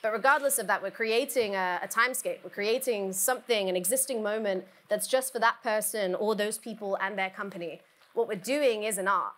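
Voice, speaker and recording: A woman in her young adult years talks to a conference audience in an easy, earnest way, dropping in plenty of "like"s. Her voice has a bright, forward edge. She sits at a middling pitch for a woman. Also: brisk. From South West England. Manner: chatty, earnest, many fillers. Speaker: bar education advisor. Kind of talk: conference talk. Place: conference stage.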